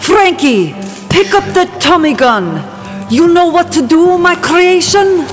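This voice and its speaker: A woman's voice: very high-pitched, animated, slow, exaggerated voice. A woman playing a manic mad scientist who commands her monstrous creation in an audio drama. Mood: commanding, gloating. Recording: loud music and gunfire behind.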